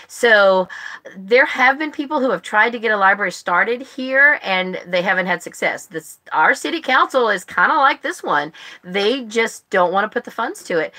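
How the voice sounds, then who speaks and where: A woman's voice: mid-range voice, pushed and bright. An American woman hosting a book club live stream, chatting casually in a rambling way. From United States. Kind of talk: casual chat. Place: live stream, speaking to viewers online.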